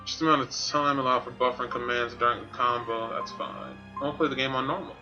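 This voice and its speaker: A man's voice: mid-range voice, fairly even intonation, fast, highs cut off. Male game streamer, mid-range voice, offhand casual commentary, music playing behind. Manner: offhand, muttering to himself.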